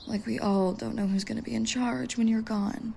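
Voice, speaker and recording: A woman playing a blunt, inquisitive vigilante in an audio roleplay, with a gravelly voice and dry questioning manner. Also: on the high side, steady tone, moderate pace, background noise. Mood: wry, prying.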